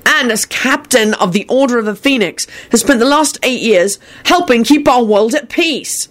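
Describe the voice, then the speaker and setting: A woman's voice: a little high, moderate pace, voice pushed up, lightly acted. A woman reading the part of an earnest, determined young man making an emphatic plea in an audiobook. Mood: vehement. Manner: emphatic, vehement.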